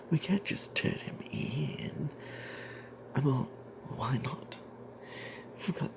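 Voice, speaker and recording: Casting: man playing sly, cowardly cleric in a whispered fantasy story, unhurried delivery with a creaky edge. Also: medium pitch, noisy background. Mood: grudging, greedy. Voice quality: breathy whisper with creaky edges. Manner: slow, hushed, secretive, hesitant pauses. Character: greedy, cowardly male adventurer cleric, sly and grudging. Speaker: narrator of a tabletop fantasy tale. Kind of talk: breathy whispered ASMR first-person storytelling.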